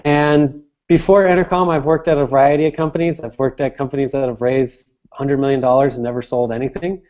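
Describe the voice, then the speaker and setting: A man with a mellow, soft-edged voice at a medium pitch answers as an interview guest. He explains things conversationally, restating phrases as he goes. The audio is slightly dull and lo-fi. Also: fairly even intonation, a little fast. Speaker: interview guest, sales director.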